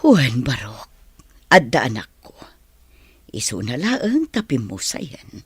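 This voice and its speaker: A woman's voice: very deep voice, huge pitch swings, takes it slowly, slightly rough, dry recording, heavily acted. A woman acting an ailing mother in an Ilocano radio drama, pleading and groaning in pain. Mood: weary.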